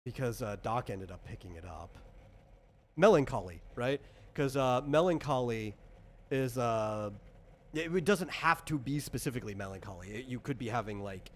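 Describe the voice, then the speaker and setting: A man's voice: mid-range voice, expressive, moderate pace, a little hiss or hum. A man explaining things in casual chat, in a rambling, hesitant way, as a game designer running character creation.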